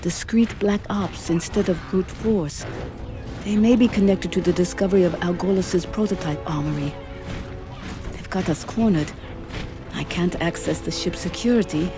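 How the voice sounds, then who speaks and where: An actor playing a damaged shipboard artificial intelligence, speaking in a measured, precise and dryly witty way in an audio drama. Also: medium pitch, music in the background, close to an everyday voice. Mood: dry, grave. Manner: measured, precise, dry wit. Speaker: ship's AI character. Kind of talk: dramatised science fiction audio story.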